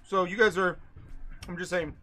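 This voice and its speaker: Bright-voiced male game master. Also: high-pitched, moderate pace. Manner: descriptive, relaxed, checks in with players. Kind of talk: narrating a tabletop game on stream.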